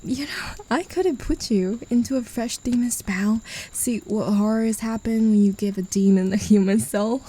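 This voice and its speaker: A woman voicing a possessive, mocking demon in an ASMR roleplay, speaking in a condescending, teasing way with soft whispers. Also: medium pitch, slightly rough, poor audio. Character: mocking, possessive demon who claims a human as a pet.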